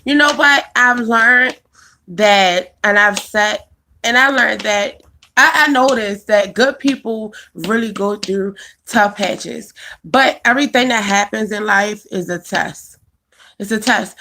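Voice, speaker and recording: A woman's voice: mid-range voice, pushed and bright, a little hiss or hum. A woman, chatting casually on a live stream, answering viewers by name and laughing often. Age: 20s. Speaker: vlogger reading live chat.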